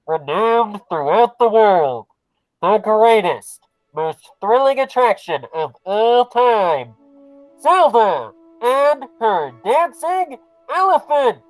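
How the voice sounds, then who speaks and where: Radio play ringmaster played by a woman: grand, unhurried, animated, dry sound over music. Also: on the high side, dry recording, rough recording. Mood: grand, showy. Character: grand, booming circus ringmaster announcer. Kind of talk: showy 1940s radio announcing.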